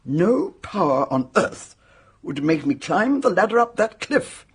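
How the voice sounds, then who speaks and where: A performer playing a blunt, hearty spinster hotel guest in a radio mystery, forthright and emphatic with a husky voice. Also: male voice, high-pitched, sing-song, unhurried, exaggerated voice. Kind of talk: radio mystery drama acting.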